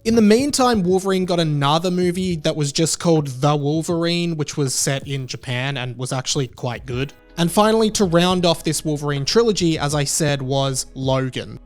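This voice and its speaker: Higher-voiced man giving opinionated commentary over music. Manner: conversational, opinionated. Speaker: film commentary video host. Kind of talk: semi-scripted video essay commentary with personal opinion.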